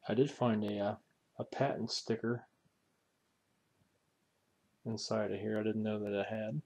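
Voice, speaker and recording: A man's voice: fairly low pitch, fairly even intonation, unhurried, dark tone. A man giving a casual, unscripted hobbyist walkthrough in a folksy way, musing aloud as he handles parts. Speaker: hobbyist radio restorer presenting a repair video.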